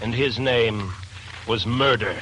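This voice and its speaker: An actor playing an ominous, solemn storyteller, narrating a murder tale in portentous 1940s radio style. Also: male voice, medium pitch, slow, a bit bright, soft background music. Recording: noisy, crackly 1940s radio recording.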